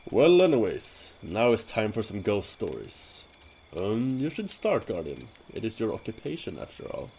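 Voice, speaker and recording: A man's voice: on the low side, wildly swooping pitch, moderate pace, a little mellow. A man playing a brash, teasing hunter who leads his companions in a fantasy audio drama, bantering theatrically. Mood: casual. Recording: noisy track with cricket ambience. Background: crickets and night insects.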